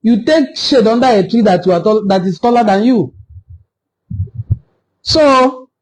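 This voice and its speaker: A man's voice: high-pitched, animated, a little slow, poor audio. A man from Nigeria with an Igbo-language accent, speaking as the host of an informal, impassioned history talk in an assertive, argumentative way with emphatic repetition.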